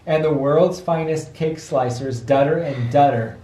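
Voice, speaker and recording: A man's voice: a little high, measured pace, dark tone. A man reading a children's rhyming book aloud as a father would to his child, sing-song with patient asides, picked up by a camera microphone a little way off. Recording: roomy echo, distant camera microphone.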